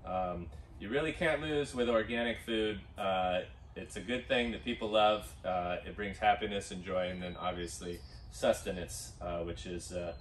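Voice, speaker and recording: A middle-aged man who is an organic farmer, answering interview questions in a relaxed, plain-spoken way with many fillers, recorded outdoors on a farm. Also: low voice, moderate pace, slight background noise. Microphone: camera mic from a distance. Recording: roomy, distant outdoor sound.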